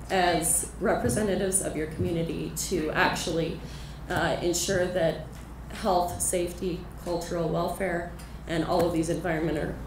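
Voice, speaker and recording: A woman with a lowish voice addresses county commissioners from a distance from the mic. The meeting room echoes and a steady hum of noise runs underneath. She is polite, with the odd um. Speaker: attorney giving public comment. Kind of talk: public comment at a county meeting.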